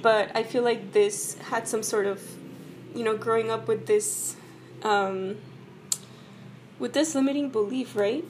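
A woman from El Paso, Texas, hoarse from a cold, talking casually and personally to her viewers, leaning on "you know" and "right?". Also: on the high side, steady tone, unhurried, a little mellow, slight background noise. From El Paso, Texas, USA. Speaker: vlogger. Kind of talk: casual personal talk to camera.

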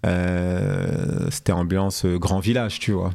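Laid-back man with a lower voice. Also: takes it slowly, vocal fry. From France. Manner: relaxed, hesitant with slang. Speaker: guest, fashion entrepreneur. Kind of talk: casual interview talk.

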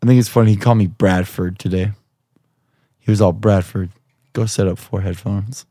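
A young man hosting a podcast, hoarse from screaming, talking in a rambling, profane, jokey way. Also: on the low side, steady tone, normal speed, mellow, dry recording, clean studio sound. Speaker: podcast host. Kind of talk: casual chat.

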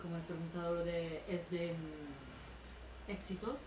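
A woman's voice: fairly low pitch, a little slow. A woman in the audience asking a hesitant question, searching for her words. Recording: echoey room with clear background noise.

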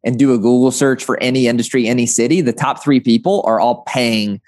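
A man's voice: medium pitch, a little fast, darkish tone, dry recording. Man with frequent "right?" tags, dry sound. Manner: frequent "right?" tag questions. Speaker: interview guest, agency founder. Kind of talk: conversational interview, anecdotes and advice.

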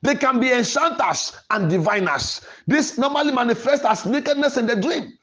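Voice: medium pitch, lively intonation, moderate pace, a bit bright. A preacher delivering a sermon in an emphatic, declarative way, repeating phrases for emphasis. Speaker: preacher and podcast host.

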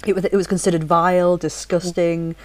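A woman's voice: fairly low pitch, level tone, brisk, darkish tone. A woman in her forties from Leeds, with a faint, mostly neutral northern English accent, chatting animatedly as host and often restarting her sentences. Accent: soft northern English, mostly neutral. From Leeds, England. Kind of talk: casual chat.

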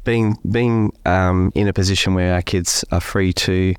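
A man co-hosting a faith podcast, slightly croaky from a cold, talking in a relaxed way with many fillers. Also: low voice, measured pace, no room sound, clean studio sound. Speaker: podcast co-host. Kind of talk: casual faith podcast chat.

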